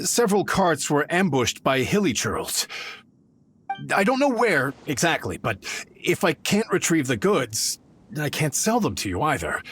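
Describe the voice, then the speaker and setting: An actor playing a greedy merchant who haggles hard and turns nervous and pleading, in video game voice acting. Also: male voice, on the high side, a bit bright, slightly rough, full character voice. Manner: wheedling, backs down when threatened.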